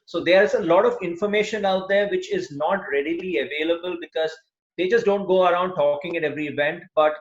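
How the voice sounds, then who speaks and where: Halting man in an echoey room. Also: sits high. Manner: hesitant, many "uh" fillers. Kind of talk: casual video call discussion. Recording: echoey, dull call audio.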